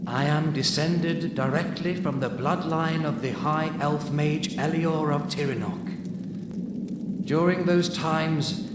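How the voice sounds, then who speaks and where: A man's voice: a little high, steady tone, slow pace, very noisy. An actor playing a solemn, proud noble male elf who speaks formally and earnestly in a fantasy game cutscene. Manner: formal, imploring. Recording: echoing, distant from the microphone.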